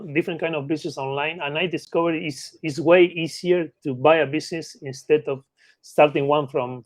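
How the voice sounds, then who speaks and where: A man's voice: a little high, no room sound. A man from Argentina with an Argentine Spanish accent explains his work conversationally as an interview guest, often ending points with short tag questions. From Patagonia, Argentina. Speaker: interview guest, mergers and acquisitions consultant.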